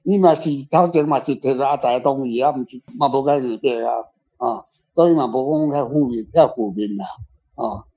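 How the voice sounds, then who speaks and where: An older man, an author, discussing his work informally in halting, repetitive phrases. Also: medium pitch, warm tone, breathy edge. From Taiwan. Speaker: author guest. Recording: slightly muffled, faint guitar music behind. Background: faint guitar music.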